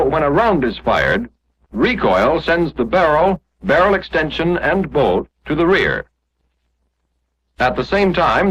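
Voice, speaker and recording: A man's voice: a little high, a bit bright, slightly rough. A man narrating a 1940s instructional film, explaining step by step in a scripted, didactic way. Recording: muffled, dull 1945 film soundtrack.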